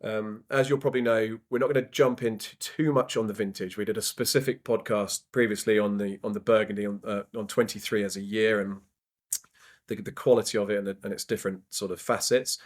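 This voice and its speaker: A man hosting a wine merchant's podcast, introducing colleagues in a casual, halting way with many ums and restarts. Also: lowish voice, steady tone. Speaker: podcast host.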